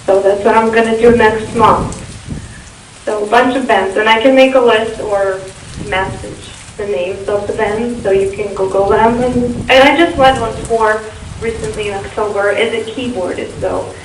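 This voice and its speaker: A woman's voice: fairly high pitch, steady tone, moderate pace. A woman from Russia, a native Russian speaker, giving casual answers as an interview guest. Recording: echoey, distant, faint hiss.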